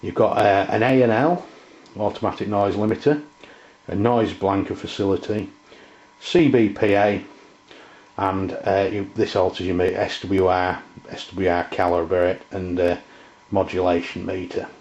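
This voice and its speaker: A middle-aged man giving an informal, halting, unscripted product review in a room at home. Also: sits low, steady tone, a little mellow. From England. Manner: hesitant, halting, unscripted delivery. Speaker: presenter, radio hobbyist. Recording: muffled, roomy camera sound.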